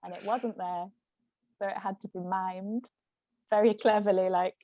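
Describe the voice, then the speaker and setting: A woman's voice: mid-range voice, steady tone, normal speed, no echo, phone-quality sound. A woman chatting casually and animatedly as she tells anecdotes in an interview, giggly and peppering her talk with "like". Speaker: author and stage actress, interview guest.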